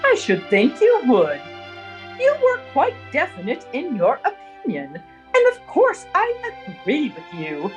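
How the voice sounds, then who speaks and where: Snobbish older aunt, 1930s radio play: prim, impatient, wildly animated intonation, unhurried delivery. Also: female voice, high voice, exaggerated voice, rough recording. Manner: prim, haughty, fretful. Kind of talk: 1930s radio drama acting. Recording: 1930s radio recording with music.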